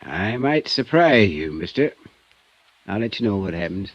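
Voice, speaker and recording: Folksy small-town constable. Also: male voice, fairly low pitch, big pitch swings, unhurried, no echo. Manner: drawling, folksy. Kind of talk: 1950s radio drama acting. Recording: dull old radio recording.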